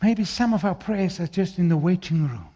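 A male preacher with an Afrikaans accent and a fairly high, animated voice that jumps all over its range. He moves from ringing, declaimed lines down to hushed, low asides. His first language is Afrikaans. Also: normal speed, slightly rough. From South Africa. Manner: swings from loud declaiming to quiet, low asides. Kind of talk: sermon.

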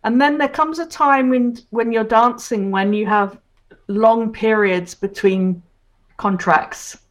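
A woman's voice: a little high, steady tone. A woman in her sixties from Hong Kong, chatting as a guest in an interview and reminiscing about her career. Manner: chatty, reminiscing, quick to laugh. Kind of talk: casual interview.

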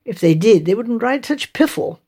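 A woman's voice: on the high side, lively intonation, moderate pace, warm tone, slightly rough. A woman voicing a pompous, self-satisfied older English colonel in a clipped, blustering, opinionated manner. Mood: indignant.

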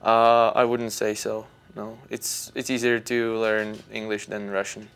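A young man with a Czech accent, a native Czech speaker, chatting as a podcast guest and talking haltingly with many fillers. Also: fairly low pitch, little pitch movement, a bit bright, smooth. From Czech Republic. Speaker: guest hockey player. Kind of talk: casual podcast chat.

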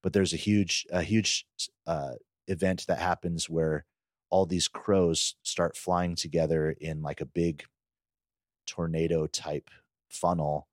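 A man's voice: low voice, little pitch movement, slow pace, no echo, clean studio sound. A man co-hosting a podcast, chatting casually and recounting things in an informal, loosely rambling way. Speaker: podcast co-host. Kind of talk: casual chat, personal recollection.

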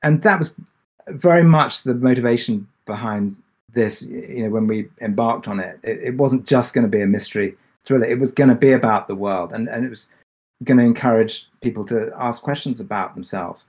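A man speaking reflectively as a creator on a Q&A panel, pausing and repeating words as he works through his thoughts. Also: medium pitch, a little mellow. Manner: thoughtful, halting, repeats words mid-sentence. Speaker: podcast creator and executive producer on a panel.

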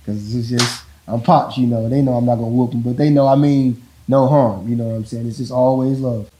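Deep voiced dad just chatting, kinda rambly, warm mellow tone. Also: male voice, brisk, a little hiss or hum, poor audio. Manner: relaxed, rambling, repeats "you know what I'm saying". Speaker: father in a couple interview. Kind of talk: casual talk about parenting.

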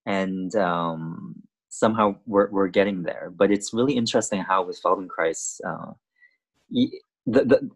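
A man whose first language is Cantonese, a dancer speaking as an interview guest, thoughtful and halting, with many restarts and fillers. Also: low-pitched, vocal fry, breathy edge. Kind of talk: conversational interview.

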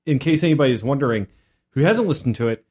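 A man's voice: mid-range voice, expressive, fast talker, a little mellow, breathy edge. A man co-hosting a gaming podcast, chatting casually as one of the players. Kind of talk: casual chat about gaming.

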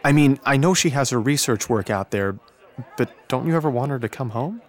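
A man plays a brooding high school boy in a radio drama. His voice sits at an average male pitch, with a casual, downbeat delivery that leans toward self-pity. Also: animated, fairly quick, a faint crowd, close to an everyday voice.

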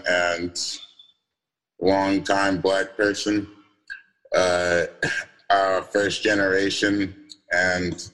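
A young man with a deep voice giving a casual, halting personal talk on a video call, full of fillers and restarts. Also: fairly bright tone, poor audio. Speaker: event speaker.

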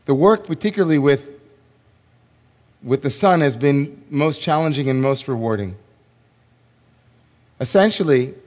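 Slow, hoarse-voiced rabbi in a hall. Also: male voice, medium pitch. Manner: slow, reflective, with long pauses. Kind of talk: sermon. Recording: long hall reverb.

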